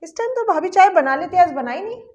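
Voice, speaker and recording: A woman's voice: very high-pitched, expressive, fast, roomy sound. A woman playing an earnest young working daughter-in-law who lectures her mother-in-law about fairness in a family skit. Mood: sweet. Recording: dull lo-fi recording.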